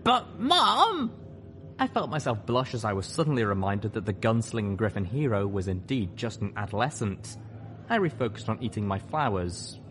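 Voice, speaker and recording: Animated male story narrator. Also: fairly low pitch, brisk, slight background noise. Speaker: narrator and host. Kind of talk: scripted first-person story narration.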